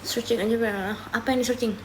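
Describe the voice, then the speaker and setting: Young woman streamer, relaxed and chatty, drifts between languages while snacking, pitched a bit high. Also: fast talker, noisy background. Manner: chatty, often switching into English mid-sentence; talks while eating and sipping a drink. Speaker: live streamer. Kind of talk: casual livestream chat answering viewer comments.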